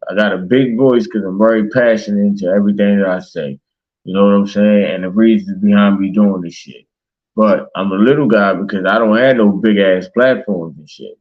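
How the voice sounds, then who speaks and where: A man's voice: on the low side, dull audio. A man in casual, passionate conversation as a talk show host, speaking emphatically with slang and swearing. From Baltimore, United States.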